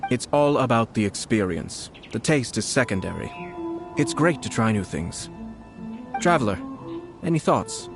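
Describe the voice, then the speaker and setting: An actor playing a composed, polite young nobleman in a video game, shrewd and quietly mischievous, speaking in measured phrases. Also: medium pitch, animated, creaky, music in the background. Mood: playful, composed. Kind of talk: video game character acting.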